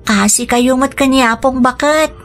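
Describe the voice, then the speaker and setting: An actress with an Ilocano Filipino accent playing a meek, forlorn teenage granddaughter in a radio drama, pleading and apologetic. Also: female voice, high-pitched, normal speed, a bit bright. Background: music.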